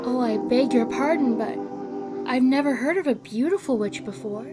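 A woman's voice: high voice, animated, normal speed, over music. A woman voicing an earnest, innocent, emotional Kansas farm girl in a theatrical classic-film dub. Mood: earnest, puzzled. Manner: earnest, girlish, pleading.

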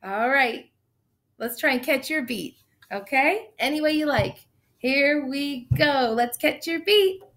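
Slow, upbeat teacher woman on webcam. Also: sits high, lively intonation, very noisy. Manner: upbeat, counting beats aloud. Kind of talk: online class read-aloud. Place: small room indoors. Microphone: webcam on video call.

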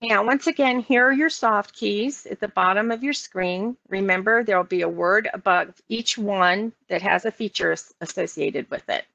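A woman's voice: medium pitch, normal speed, vocal fry, few pauses, pushed and bright, dry recording. An American woman working as a trainer, explaining things step by step in an instructional walkthrough. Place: online meeting screen-share, voice only. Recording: dull lo-fi online meeting audio.

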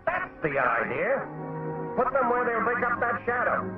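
A man voicing a brisk, bossy, wisecracking cartoon animal who gives folksy instruction in a 1940s training film. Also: very high voice, sing-song, husky, faint music behind, heavily acted. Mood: encouraging. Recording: muffled, tinny 1940s film soundtrack.

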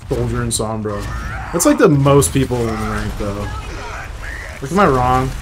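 A man's voice: mid-range voice, expressive, normal speed, noisy background. A young American man streaming a shooter game, joking, swearing and chatting with viewers between fights. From United States. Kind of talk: casual gameplay commentary talking with chat. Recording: game audio and music behind.

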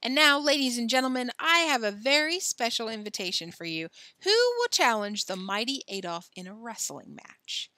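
A woman's voice: on the high side, big pitch swings, bright, forceful tone, no echo. A woman voicing a showy, bombastic male circus ringmaster in a storybook, with a grandiose announcer's delivery. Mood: showy, booming. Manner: grandiose, drawn-out barker's delivery.

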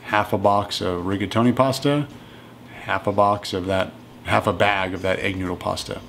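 A man in his thirties casually walking through home meals in his kitchen, relaxed and matter-of-fact. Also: deep voice, normal speed, vocal fry, slight background noise. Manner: relaxed and matter-of-fact, plain step-by-step talk. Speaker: strength coach cooking at home. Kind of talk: casual cooking walkthrough.

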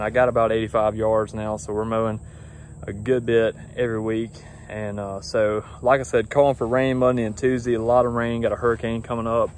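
A man's voice: mid-range voice, slight background noise. A young man, talking casually to a phone camera outdoors in a grassy yard, rambling and easygoing with lots of fillers. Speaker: lawn care business owner. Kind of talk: casual vlog talk to camera. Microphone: phone camera at arm's length.

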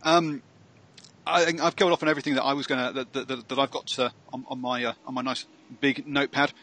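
Male host on a headset call, bright voice, halting and self-correcting. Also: medium pitch, steady tone. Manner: hesitant, many ums and self-corrections. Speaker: show host. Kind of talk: casual panel chat. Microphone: headset on a video call.

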